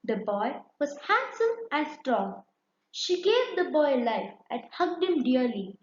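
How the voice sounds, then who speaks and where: A woman narrating a children's story in an expressive, deliberate storytelling style. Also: high-pitched. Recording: roomy echo, dull lo-fi sound.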